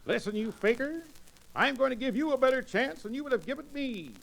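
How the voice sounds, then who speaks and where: Comic frontier peddler, very high voice, grandiloquent, indignant, old radio western, faint noise. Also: male voice, lively intonation, brisk, creaky, fairly bright tone, full character voice, low-quality recording. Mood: blustering.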